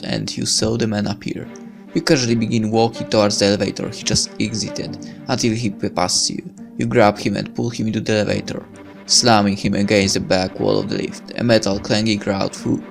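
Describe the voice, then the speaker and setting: A man's voice: lowish voice, flat delivery, normal speed, creaky. A man doing a let's play, reading a visual novel's story aloud dramatically with casual asides. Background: game music.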